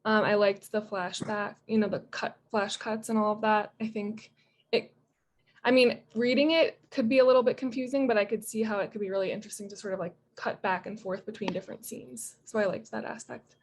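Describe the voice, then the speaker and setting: A woman's voice: medium pitch, fairly even intonation, a little fast. A woman giving casual, unscripted feedback on a video call in a tentative, hedging way. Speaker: participant giving script feedback.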